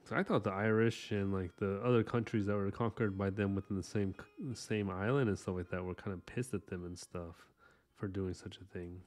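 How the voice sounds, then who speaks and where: A man's voice: on the low side, fairly quick. A man who grew up speaking Korean, chatting loosely with his live chat at an art desk at home while he paints, full of fillers and asides. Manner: relaxed, rambling, many fillers. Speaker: artist streamer.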